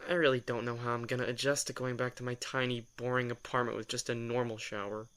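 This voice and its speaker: A man's voice: medium pitch, flat delivery, fast, a bit bright, lightly acted. An actor playing a brooding, reluctant male vampire who answers in dry, curt replies in an ASMR audio drama.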